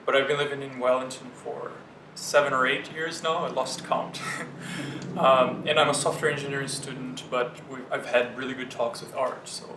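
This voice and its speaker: A man's voice: mid-range voice, fairly even intonation, moderate pace. A young man from Brazil, a student guest talking casually and reflectively in a conversation. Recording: echoey room with clear noise.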